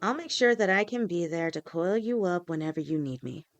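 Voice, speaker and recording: A woman voicing a gentle, protective snake-woman girlfriend who talks softly and reassuringly to her partner in an audio roleplay. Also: lowish voice, expressive, brisk. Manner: soft, reassuring, speaks directly to a partner.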